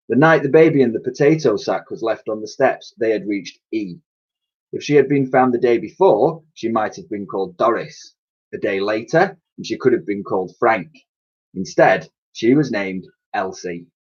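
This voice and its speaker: A man in his thirties reading a children's storybook aloud in an expressive, explanatory way, like a teacher to pupils. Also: on the low side, normal speed, husky. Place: small attic room with sloped ceiling, indoors. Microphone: webcam or laptop mic at arm's length. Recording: dull, lo-fi webcam sound.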